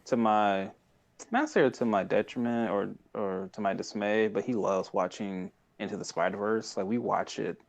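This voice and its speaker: A man in his thirties with a low voice and a noticeable creak at the edges. He chats casually as a podcast co-host, rambling excitedly through retellings and slipping into quoted dialogue. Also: moderate pace. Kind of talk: casual chat.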